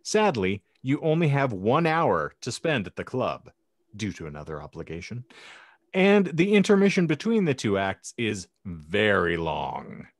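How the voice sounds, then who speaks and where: A man's voice: fairly low pitch, sing-song, a little slow, dry recording, studio quality. A man hosting a podcast panel discussion, posing hypotheticals in elaborate, mock-formal phrasing. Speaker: podcast host.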